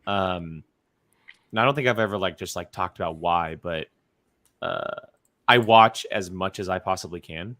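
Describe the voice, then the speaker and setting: A man co-hosting a talk show, chatting casually in a halting way with repeated phrases. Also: deep voice, bright, forceful tone, dry recording, clean studio sound. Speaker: co-host of a movie talk show. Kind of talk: casual chat.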